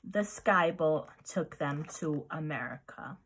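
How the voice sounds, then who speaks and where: A woman reading a children's picture book aloud as narrator, with expressive storytelling. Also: sits low, slow pace, husky.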